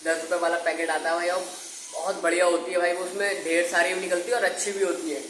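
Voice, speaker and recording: A man's voice: high-pitched, level tone, fast, fairly bright tone, roomy sound, a little hiss or hum, rough recording. A young man chatting casually and informally to viewers as a vlogger. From India. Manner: informal, chatty, often addressing viewers as brother. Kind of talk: casual chat to camera.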